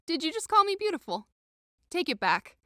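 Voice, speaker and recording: A woman's voice: extremely high pitch, very animated, normal speed, pushed and bright, no echo, studio quality, exaggerated voice. A woman playing a lovesick, envious young woman in an audio drama, with a dramatic, self-pitying manner. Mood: envious pleading. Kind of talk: modernized Shakespeare audio drama acting.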